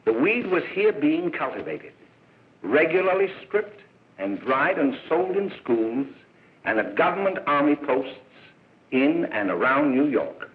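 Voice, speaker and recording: An actor playing a stern, alarmist middle-aged doctor lecturing parents in emphatic, declamatory 1930s film oratory. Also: male voice, fairly high pitch. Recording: tinny narrow-band 1930s film soundtrack.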